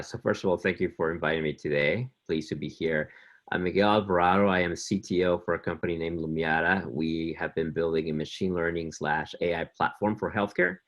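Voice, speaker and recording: A man's voice: deep voice, lo-fi sound. A man from Mexico City, speaking politely and plainly as a panelist in a webinar. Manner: polite and straightforward. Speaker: panelist, tech executive.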